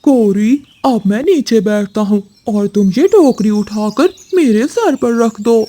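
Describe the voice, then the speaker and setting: A woman acts out a greedy crow husband from a children's cartoon. He sounds soft and mellow, slow-spoken, whiny and plaintive. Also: fairly high pitch, lively intonation. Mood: instructing. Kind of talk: children's cartoon story acting.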